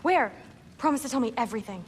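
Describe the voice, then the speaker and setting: A woman's voice: a little high, animated, quick pace, a little hiss or hum, close to an everyday voice. A woman playing a confident, friendly teenage Norse warrior girl in a cinematic video game, casual and teasing. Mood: eager, friendly.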